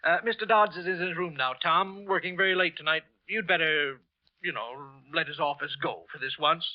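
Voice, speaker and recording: Male actor for a guilty office man faking ease: raised pitch, halting false cheer, muffled vintage radio play. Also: lightly acted. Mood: nervous, evasive. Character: guilty male office employee feigning casual friendliness. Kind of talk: old-time radio drama acting. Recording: muffled old radio recording.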